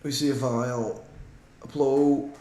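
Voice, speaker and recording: A man's voice: mid-range voice, steady tone, slow, warm tone. A Belgian man with a Flemish accent, a native Dutch speaker, casually commentating a card game stream in English and chatting with viewers. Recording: roomy echo.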